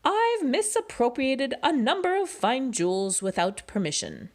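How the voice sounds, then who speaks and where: A woman's voice: high voice, big pitch swings, unhurried, pitched above the performer's own voice, heavily acted. A woman voicing a prim young rascal in a storybook read-aloud, speaking in formal, overly proper wording.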